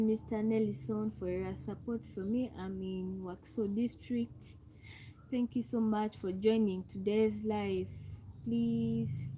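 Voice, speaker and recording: Slow, mellow Ugandan woman livestreaming. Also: mid-range voice, slight background noise, rough recording. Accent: Ugandan English. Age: young adult. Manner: repetitive, calls out to viewers and asks for thumbs up. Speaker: livestream host. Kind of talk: live stream greeting viewers.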